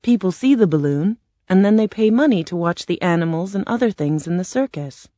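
A little old humbug of a man from a storybook played by a woman, meek and apologetic. His mild, confessional words come in a soft, mellow tone, recorded dry. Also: on the low side, expressive, fairly quick, no room sound, close to an everyday voice. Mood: confiding. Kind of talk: storybook character voice.

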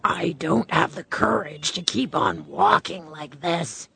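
A woman voicing a timid, weary male lion in a storybook, with a gravelly, husky growl and drawn-out delivery. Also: sits low, huge pitch swings, fairly bright tone, slight background noise, heavily acted.

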